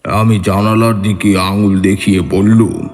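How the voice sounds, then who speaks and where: A man giving measured, literary narration of a horror audio story, in a scripted dramatic style. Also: lowish voice, flat delivery, a little mellow. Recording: long reverb with music bed. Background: clear background music.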